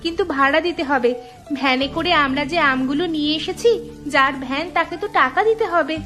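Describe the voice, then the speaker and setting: Kind young village girl for a cartoon, really high bright voice, earnest. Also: brisk, soft background music. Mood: wary, questioning. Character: kind, curious young village girl who sells mangoes. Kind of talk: cartoon storytelling voice acting.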